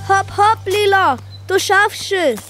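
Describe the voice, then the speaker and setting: A woman's voice: extremely high pitch, lively intonation, slow, fairly bright tone, soft background music, heavily acted. A woman voicing a keen but sulky young boy with a Swiss German accent in a children's audio play. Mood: eager.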